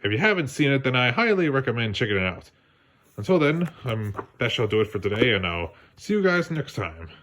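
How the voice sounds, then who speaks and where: A man narrating a children's read-along story in a lively storytelling manner. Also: mid-range voice, brisk, noisy background. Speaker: storybook reader.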